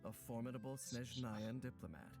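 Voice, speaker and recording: A man narrating a video game character showcase from a script in a polished, formal, measured way. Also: medium pitch, loud music behind the voice.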